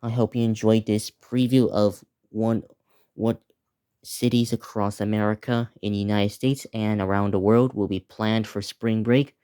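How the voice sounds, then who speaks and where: Teenage male, slightly low voice, dark soft tone, casual vlog preview, halting, repetitive delivery. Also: fairly even intonation, smooth. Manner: halting, repetitive, listing place names. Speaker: video creator previewing upcoming videos.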